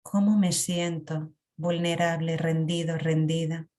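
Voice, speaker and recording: A woman leading a guided emotional meditation in a calm, reflective way. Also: low voice. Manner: slow, calm, reflective guidance with long pauses.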